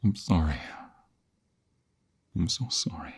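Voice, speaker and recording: A man voicing a desperate, protective young mobster in an ASMR romance roleplay, intense and emotional, with a husky, breathy, gravelly low voice that often drops to whispers. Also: expressive, takes it slowly, slight background noise, exaggerated voice. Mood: guilty, shaken.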